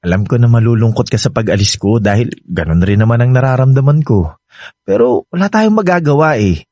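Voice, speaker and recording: An actor playing a devoted young Filipino boyfriend in a radio drama, sweet-talking, playful and coaxing. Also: male voice, mid-range voice, animated, fairly quick, darkish tone, no room sound, clean studio sound, close to an everyday voice. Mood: tender, coaxing. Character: devoted, reassuring young boyfriend heading abroad.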